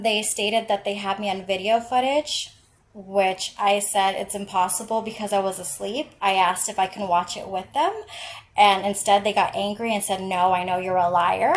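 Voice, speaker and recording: A woman's voice: mid-range voice, slight background noise. A woman calling to file a complaint on a recorded phone call, speaking in an insistent, persistent way. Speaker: caller filing a complaint.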